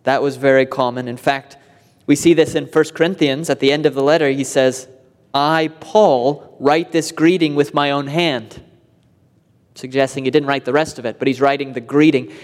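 A man's voice: mid-range voice, fairly even intonation, normal speed. A man preaching an expository sermon, explaining in an earnest way and rising in emphasis on key points. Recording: long hall reverb.

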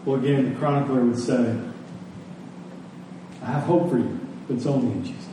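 A man preaching a sermon as a pastor, speaking earnestly and deliberately. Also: mid-range voice. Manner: earnest, rhetorical questions, deliberate pauses. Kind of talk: sermon closing in prayer. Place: large echoey room before a congregation. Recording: echoey hall, distant mic, faint noise.

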